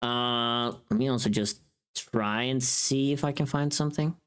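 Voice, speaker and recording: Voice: medium pitch, normal speed, smooth. A VTuber streamer chatting casually with viewers in a rambling, teasing, informal way with some mild swearing. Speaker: VTuber livestream host. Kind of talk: casual livestream chat with viewers.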